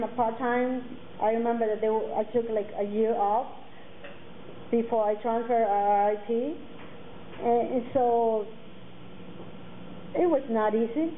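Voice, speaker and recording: A woman's voice: fairly high pitch, a little hiss or hum. Earnest woman speaker, tinny phone-like sound. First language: Mixtec. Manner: earnest, halting with many fillers and restarts. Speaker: guest speaker. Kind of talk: personal talk to students. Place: classroom with curtains, indoors. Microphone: table mic on a stand.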